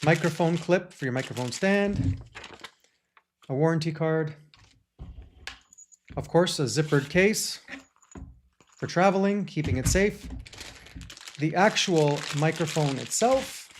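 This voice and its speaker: A man's voice: fairly high pitch, animated, slow pace, long pauses, a little hiss or hum. A man hosting a gear review, talking in an enthusiastic, chatty way with small restarts, recorded on a shotgun microphone. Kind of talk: product review talk.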